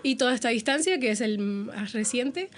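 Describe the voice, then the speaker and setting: A woman's voice: a little high, expressive, moderate pace. A woman with a Venezuelan Spanish accent, talking casually as an interviewed guest on a podcast.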